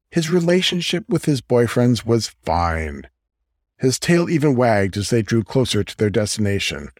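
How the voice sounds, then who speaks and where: A man's voice: fairly low pitch, dry recording, clean studio sound. A man reading a scripted fiction story aloud as an audiobook narrator.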